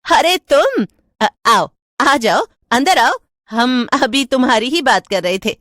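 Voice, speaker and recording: Woman doing a high, nosy gossiping housewife. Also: lively intonation, slow, fairly bright tone, dry recording, studio quality, full character voice. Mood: eager, prying. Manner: eager, prying, chatty. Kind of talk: Hindi cartoon storytelling.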